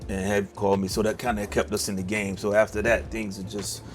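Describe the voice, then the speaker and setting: Laid-back low-voiced man, faint music. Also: level tone, quick pace. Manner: laid-back, many fillers and restarts. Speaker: songwriter guest. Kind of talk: casual interview talk.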